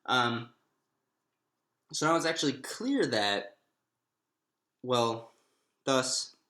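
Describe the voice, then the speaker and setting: A man giving an informal, step-by-step maths lesson, thinking aloud in a halting way and often restating himself. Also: medium pitch, very animated, bright, forceful tone. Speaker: maths lecturer. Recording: echoey room.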